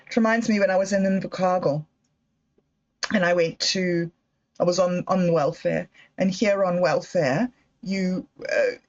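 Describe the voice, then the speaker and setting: A middle-aged woman hosting a livestream, telling personal stories in a slow, thoughtful, reflective way. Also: medium pitch. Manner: slow, thoughtful storytelling with emotional quotes. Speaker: livestream host. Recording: dull lo-fi livestream audio.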